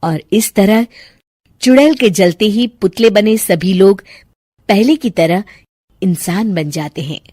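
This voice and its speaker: Female narrator, storybook delivery, unhurried, husky, medium pitch, dry close sound. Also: warm tone, dry recording.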